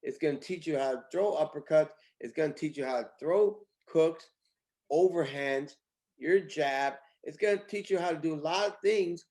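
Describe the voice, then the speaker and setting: Guy ceo chatting in an interview, kinda high voice, emphatic salesy repeats. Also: normal speed, darkish tone. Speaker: company CEO, interview guest. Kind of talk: casual promotional interview, conversational pitch.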